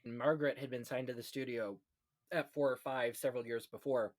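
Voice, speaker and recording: A man hosting a film podcast, talking in an animated, emphatic and chatty way. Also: mid-range voice, a little fast. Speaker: podcast host. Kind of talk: theatrical scripted intro, then casual film chat.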